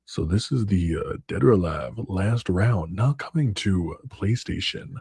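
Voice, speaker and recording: A man putting on the voice of a smooth male game-trailer announcer, drawing out each word in promo style. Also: low-pitched, expressive, warm tone, dry recording, full character voice. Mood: announcing. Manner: slow, drawn-out promo delivery.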